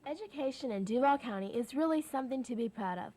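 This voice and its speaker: A female presenter reads from a script in a somewhat high voice. It is prepared narration. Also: animated, fast talker, background noise.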